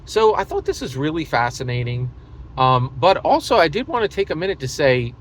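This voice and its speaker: An American man hosting a casual, opinionated gaming monologue, speaking emphatically and repetitively, often halting mid-thought and leaning on hyperbole. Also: a little high, sing-song, a little hiss or hum. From United States. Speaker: host of a tabletop gaming video channel.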